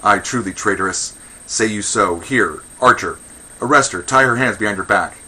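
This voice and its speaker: A man's voice: on the low side, moderate pace, pushed and bright, husky, full character voice, low-quality recording. A man playing a pompous, indignant old Athenian magistrate in a verse comedy, declaiming with blustering contempt for women. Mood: barking orders. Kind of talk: verse comedy read aloud.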